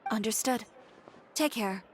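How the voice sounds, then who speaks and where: A woman's voice: a little high, measured pace, slight background noise, close to an everyday voice. A woman voicing a proud, self-assured young designer in a video game, composed, curt and dry. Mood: defiant, cool.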